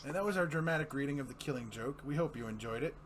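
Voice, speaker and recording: A man's voice: medium pitch, racing. A man hosting a show, narrating and chatting casually about what he reads. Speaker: host and reader. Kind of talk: casual narration and review chat.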